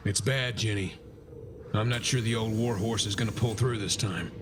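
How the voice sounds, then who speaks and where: A man's voice: fairly low pitch, fairly even intonation, normal speed, lightly acted. An actor playing a stern, duty-bound and weary male military commander, grave and commanding, in a sci-fi drama. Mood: grim, self-blaming. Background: music.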